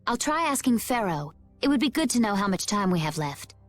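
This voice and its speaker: A woman's voice: mid-range voice, very animated, brisk, soft background music, natural voice. An actress playing a composed, perceptive woman adventurer in a video game, speaking in a probing way. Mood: concerned, probing. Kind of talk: voice acting in an English video game cutscene.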